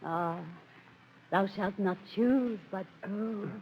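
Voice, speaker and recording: A woman's voice: medium pitch, extremely slow, slight background noise. An actress playing an imperious, lovestruck noblewoman in grand, declamatory 1930s radio Shakespeare. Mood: tender pleading. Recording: muffled old 1930s radio broadcast.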